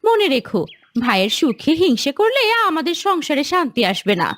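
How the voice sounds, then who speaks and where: A woman voicing a principled, plain-spoken wife who admonishes her husband in an animated moral story. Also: high voice, a little fast, no echo. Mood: admonishing.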